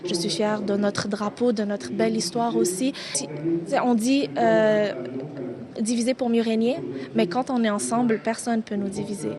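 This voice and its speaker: A woman's voice: fairly high pitch, steady tone, normal speed. A woman from Canada giving a spontaneous interview at an event, hesitant and searching for words. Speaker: event participant being interviewed. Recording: crowd chatter behind the voice.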